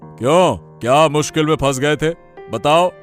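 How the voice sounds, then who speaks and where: A man's voice: a little high, moderate pace, soft background music, natural voice. A man voicing a stern, indignant king in a cartoon story, with a commanding manner. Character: stern, indignant king scolding his servant. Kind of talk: Hindi cartoon story voice acting.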